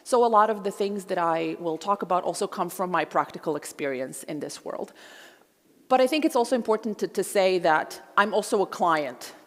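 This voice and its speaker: Gravelly-voiced woman lecturing in a hall. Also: fairly low pitch, expressive, a bit bright. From Croatia. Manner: measured, with frequent ums and uhs. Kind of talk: public lecture. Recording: long hall reverb.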